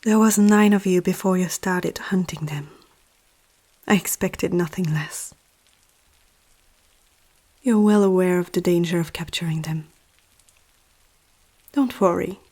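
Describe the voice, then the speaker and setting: A woman playing a powerful, seductive, possessive vampire aristocrat in an ASMR roleplay, speaking softly and often whispering, with a teasing, regal menace. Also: fairly low pitch, measured pace, long pauses. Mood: cool, composed. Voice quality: soft, breathy, often dropping to a whisper. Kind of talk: ASMR roleplay, intimate slow scripted monologue.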